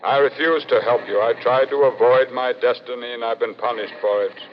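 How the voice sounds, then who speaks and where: An actor playing the living severed head of a financier, weary and begging to die, in slow, pleading 1940s radio drama style. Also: male voice, mid-range voice, fairly even intonation, lightly acted. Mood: weary despair. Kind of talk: 1940s radio suspense acting. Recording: dull old radio recording, faint noise.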